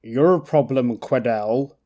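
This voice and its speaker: Man doing a cool, flat-voiced tough. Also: mid-range voice, takes it slowly, lo-fi sound, lightly acted. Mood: detached. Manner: flat, indifferent. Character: cool, detached man in sunglasses.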